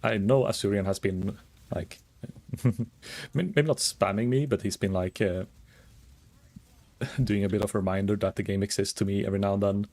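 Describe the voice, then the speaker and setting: A calm, soft-spoken man chatting casually while streaming a game, rambling and thinking aloud with many fillers. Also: fairly low pitch, normal speed, long pauses. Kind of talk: casual gaming stream chat.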